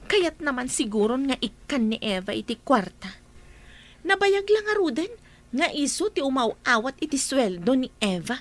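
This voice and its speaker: A woman's voice: high voice, very animated, normal speed. A woman playing a pointed, questioning young woman in a radio drama.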